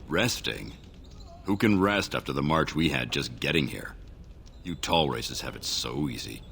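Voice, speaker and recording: A gruff male dwarf warrior for a fantasy game. His voice is extremely deep and gravelly, and he talks bluntly and wearily over a slight background noise. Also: expressive, unhurried, fairly bright tone, heavily acted. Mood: grumbling. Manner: blunt, weary. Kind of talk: fantasy video game dialogue.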